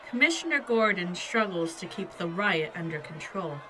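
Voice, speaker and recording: A woman's voice: lowish voice, animated, moderate pace, sharp tone, slight background noise, low-quality recording. A woman narrating an audio drama from a script as its storyteller.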